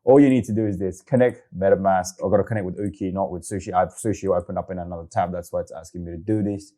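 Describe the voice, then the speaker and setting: Excitable Korean man, fast and mellow. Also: low-pitched. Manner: excitable, chatty, says okay often. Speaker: crypto video host. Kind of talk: casual screen-share walkthrough.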